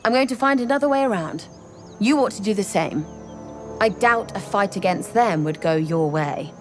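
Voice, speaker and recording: An actress playing a wary, blunt horned tiefling scout in a video game, speaking with streetwise urgency. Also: female voice, mid-range voice, lively intonation, normal speed, faint music behind, natural voice. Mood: wary, urgent. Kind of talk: dramatic video game cutscene acting.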